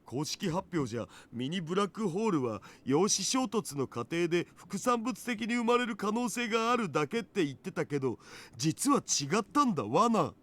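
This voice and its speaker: A man's voice: sits high, very animated, fast, a bit bright, heavily acted. An actor playing a chatty, smug, geeky young male hacker in a Japanese visual novel.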